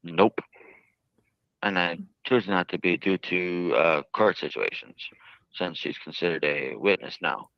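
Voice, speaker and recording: A man giving interview answers in a halting, earnest way with stiffly formal phrasing. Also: low-pitched, creaky, dry recording. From United States. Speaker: jail inmate being interviewed. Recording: dull lo-fi archived interview audio.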